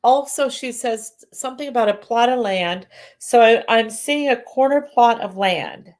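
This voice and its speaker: A woman doing live psychic readings who sounds congested and talks in halting bursts, often restarting and correcting herself. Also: fairly high pitch, a little mellow. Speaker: psychic medium. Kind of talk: live-stream readings, casual chat.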